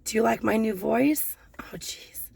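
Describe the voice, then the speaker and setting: A woman with a hoarse voice from laryngitis, chatting casually as she unboxes gardening supplies. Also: on the high side, unhurried, darkish tone. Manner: chatty and rambling, many fillers. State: hoarse, losing her voice. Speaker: gardening vlogger.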